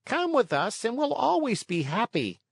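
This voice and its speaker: Man as a cocky high-voiced mischievous boy. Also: wildly swooping pitch, normal speed, creaky, dry recording, clean studio sound, full character voice. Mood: urging, eager. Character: brash, carefree schoolboy tempter, eager for mischief. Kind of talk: storybook character voice.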